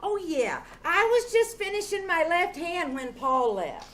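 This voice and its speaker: A woman's voice: extremely high pitch, very animated, measured pace, a bit bright, heavily acted. A woman playing a brash, defensive young gold-digging niece in a live old-time radio mystery, sassy and slangy. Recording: live theater stage recording.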